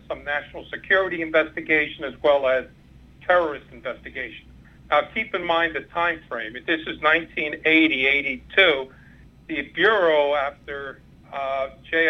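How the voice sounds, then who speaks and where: Cuban-born man on a muffled phone line. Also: on the high side. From Cuba. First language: Spanish. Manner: rambling, many fillers and restarts. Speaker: former undercover FBI agent, guest. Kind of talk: interview, recounting career.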